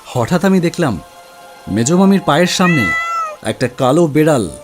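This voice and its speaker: A man's voice: fairly high pitch, very animated, normal speed, over music. A man giving scripted, dramatic first-person narration for a cartoon horror story. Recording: faint crickets and rain ambience.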